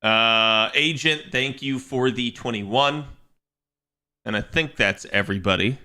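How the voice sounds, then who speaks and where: A young man chatting casually on his game stream, rambling and restarting as he thinks aloud, with a slightly raspy voice today. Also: medium pitch, a little slow, pushed and bright. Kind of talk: casual livestream chat.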